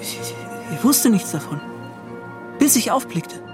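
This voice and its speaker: Radio drama: bitter young seaman, higher-pitched, halting first-person confession over music. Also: very animated. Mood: dazed, shaken. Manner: halting, intense, broken short phrases. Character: haunted young seaman confessing his desertion, bitter, shaken. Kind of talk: radio play acting, first-person account.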